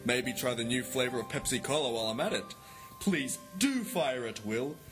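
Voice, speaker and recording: A man's voice: medium pitch, animated, moderate pace, loud music behind the voice, close to an everyday voice. Man playing a smug British villain, swooping voice. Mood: gleeful menace. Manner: genteel, theatrical taunting. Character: smug, mocking British male mastermind villain. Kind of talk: 1950s-style radio drama acting.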